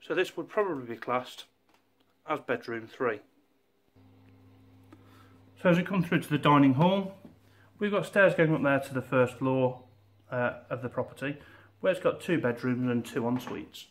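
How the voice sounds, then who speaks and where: Man, 40s, estate agent, walking house tour, steady informative delivery, handheld camera audio. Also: mid-range voice, normal speed, long pauses. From England. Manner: steady, informative, pointing things out as he walks. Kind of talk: property walkthrough tour. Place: walking through a house and garden.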